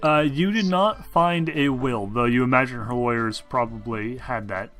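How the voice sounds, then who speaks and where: A man narrating a tabletop roleplaying game as game master, explaining things in a halting way. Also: mid-range voice, a bit bright, soft background music.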